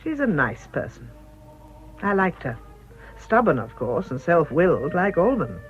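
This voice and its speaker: An actress playing a genteel, self-assured middle-aged canon's wife in a radio drama, polite and brisk. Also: female voice, on the low side, sing-song. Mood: genteel, confiding. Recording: dull radio recording, music behind.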